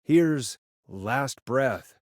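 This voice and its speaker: Casting: uneasy radio host playing detective in a video game, conversational, wry, close dry recording. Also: male voice, medium pitch, animated, drawn-out words, no echo. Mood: smooth on-air patter. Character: male late-night radio DJ turned uneasy amateur sleuth. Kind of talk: naturalistic video game voice acting.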